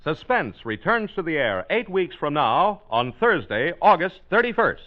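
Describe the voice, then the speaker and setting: A man's voice: a little high, big pitch swings, unhurried. A man reading a mid-century radio announcement, on a muffled old recording.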